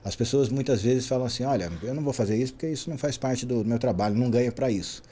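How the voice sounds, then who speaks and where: A Brazilian man in his forties, a guest in a podcast interview, telling his career story in an animated way, often tagging his sentences with a quick question. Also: medium pitch, slight background noise. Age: 40s. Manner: animated, frequent "né?" tags. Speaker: guest, traffic safety specialist.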